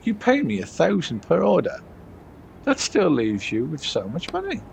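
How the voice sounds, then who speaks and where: A man's voice: on the high side, huge pitch swings, moderate pace, vocal fry, slight background noise, voice pushed up, full character voice. A man voicing a weary, argumentative errand runner from a story game, pleading and exasperated. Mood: haggling.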